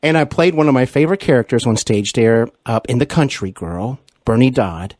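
A man's voice: medium pitch, animated, brisk, darkish tone, dry recording. Middle aged american guy hosting an interview, very up and down intonation, agreeable, dry close sound. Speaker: interview show host. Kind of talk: conversational interview.

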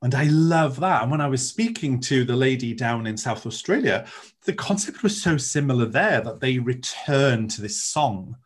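A man speaks in a reflective, lyrical way. His voice sits at an ordinary middle pitch for a man. His sentences are earnest and searching, and he often restarts them. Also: expressive, moderate pace, slightly rough. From Wales. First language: Welsh. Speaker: interviewee, Druid. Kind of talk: reflective interview talk.

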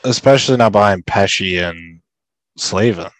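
A man's voice: low voice, a little slow, fairly bright tone, no echo, low-quality recording. A man co-hosting a casual sports chat while losing his voice, reading posts aloud and laughing often. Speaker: podcast co-host.

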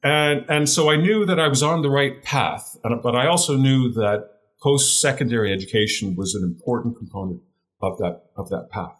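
A middle-aged man with a deeper voice, a guest telling his career story in an interview, earnest and often repeating words as he searches for the phrasing. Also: moderate pace.

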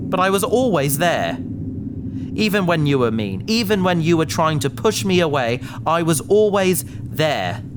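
Sci-fi audio drama crew member, female actor: earnest, anxious, mid-pitched, lively intonation, over noisy ambience. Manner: emotional, hesitant, polite.

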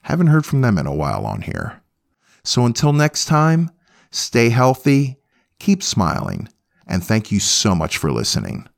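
A man's voice: mid-range voice, huge pitch swings, measured pace, gravelly. A man hosting a music podcast in a casual, folksy, self-deprecating way. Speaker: podcast host.

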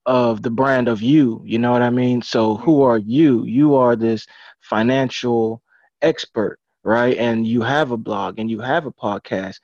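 A man talking casually in an interview as an encouraging coach, halting and repeating words as he goes. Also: medium pitch, steady tone, a little mellow, no echo. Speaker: book publisher and author coach. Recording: dry, dull lo-fi audio.

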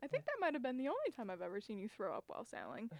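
A young woman with a fairly high voice, recorded dry and close. She talks in a lively, playful way and keeps breaking into laughter. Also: racing, dry recording. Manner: animated, teasing, laughs often. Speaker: guest. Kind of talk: casual storytelling.

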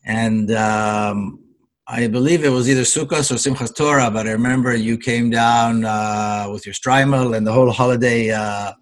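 Hesitant male interviewer, mid-pitched voice. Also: fairly even intonation, moderate pace. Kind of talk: casual conversational interview.

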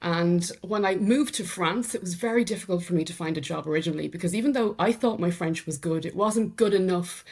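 A middle-aged woman with an Irish accent, a podcast guest telling her story in relaxed conversation, laughing easily. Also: fairly low pitch, a little fast, hardly any pauses, slightly rough. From Ireland. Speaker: podcast guest, sales enablement executive. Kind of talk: conversational interview.

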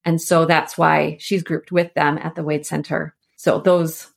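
A woman's voice: low voice, level tone, unhurried, vocal fry. A woman speaking as a scholarly interview guest, explaining things informally in meandering, conversational phrasing. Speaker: scholar and author, podcast guest.

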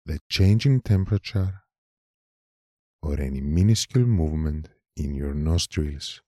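A man leading a guided meditation in a calm, measured manner, leaving long pauses between short phrases. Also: rumbling low, dry recording, clean studio sound. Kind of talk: slow guided meditation narration.

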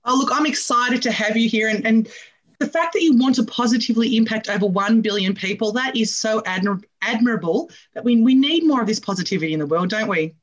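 Upbeat higher-voiced woman radio host. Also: a little fast, dull audio. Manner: enthusiastic, upbeat. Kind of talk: radio interview.

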